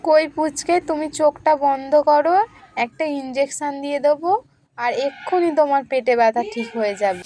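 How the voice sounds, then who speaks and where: A woman's voice: high voice, normal speed, mellow, a little hiss or hum, close to an everyday voice. A woman playing a kindly doctor auntie in a puppet story, coaxing and reassuring. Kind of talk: puppet storybook play-acting.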